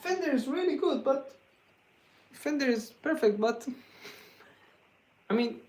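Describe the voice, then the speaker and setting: A man from Iran with a Persian accent, hosting a guitar livestream and answering viewer questions in a casual, halting way, full of fillers and restarts. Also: very high-pitched, expressive, darkish tone. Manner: laughs often, joking.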